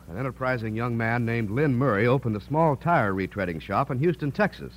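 A man reading a sponsor's message as a polished, measured 1950s radio announcer. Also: medium pitch, a little hiss or hum. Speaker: commercial spokesman. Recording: dull, band-limited vintage radio recording.

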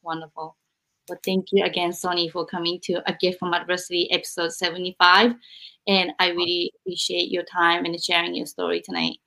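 Polite woman podcast host, low voice. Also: flat delivery, pushed and bright, poor audio. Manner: polite, slightly halting. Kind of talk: interview hosting.

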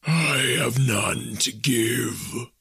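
A man voicing a mournful chained ghost in a classic story reading, with slow, solemn, wailing declamation. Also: mid-range voice, gravelly, pushed and bright, husky, dry recording, clean studio sound, heavily acted. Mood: weary, sorrowful. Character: mournful male ghost in chains, tormented by remorse.

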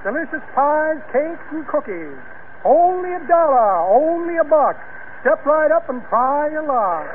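A man's voice: very high voice, very animated, a little slow, heavily acted. A man playing a slick, pompous con man who barks rhyming carnival patter in a 1940s radio comedy. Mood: hawking. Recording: slightly noisy, tinny vintage radio recording.

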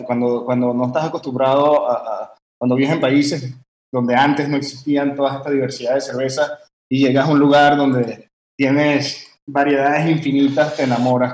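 A man's voice: medium pitch, flat delivery, normal speed, darkish tone. A man born in Venezuela, a brewer telling his story in a conversational interview, rambling with many fillers and restarts. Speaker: guest brewer. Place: warm room with fans running. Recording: echoey room, faint background hum.

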